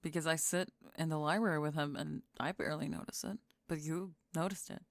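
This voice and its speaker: A woman playing a dry, stubborn teenage goth girl, deadpan and wryly teasing in an audio roleplay. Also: deep voice, a little fast, vocal fry, dry recording. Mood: teasing, challenging. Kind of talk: ASMR audio roleplay acting.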